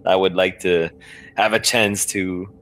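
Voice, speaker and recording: A man talking casually as a player in a story game, thinking aloud in a halting, hesitant way. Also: deep voice, steady tone, fairly bright tone. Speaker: podcast guest playing a text adventure.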